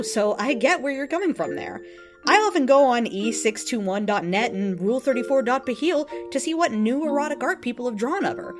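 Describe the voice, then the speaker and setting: A woman voicing an earnest, lovestruck and easily offended devotee in a dramatic, emphatic reading of an open letter. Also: high-pitched. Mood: earnest, defensive. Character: earnest, lovestruck, indignant devotee of a cartoon pony.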